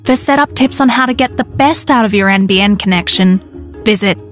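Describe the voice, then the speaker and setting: A woman voicing a scripted radio ad in a clear, informative read. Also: mid-range voice, fairly quick, soft background music. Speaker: ad voice-over.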